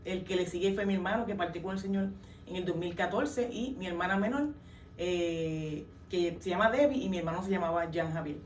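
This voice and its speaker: A young woman with a Puerto Rican accent tells her story as an interview guest, earnest and pausing often on fillers. She sits back from the microphone in a reverberant room. A faint ambient hiss sits under her voice. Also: on the low side. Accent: Puerto Rican Spanish. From Puerto Rico. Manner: earnest, many hesitations and fillers. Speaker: guest, worship singer. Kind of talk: testimony in an interview. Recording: roomy, distant mic, faint ambience.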